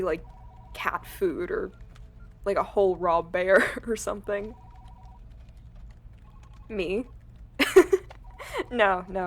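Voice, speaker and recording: A woman's voice: medium pitch, close to an everyday voice. Woman acting a friendly, chatty office colleague. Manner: casual, chuckling, affectionate. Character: friendly, chatty former recruiter at mercenary company. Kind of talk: cinematic audio roleplay acting.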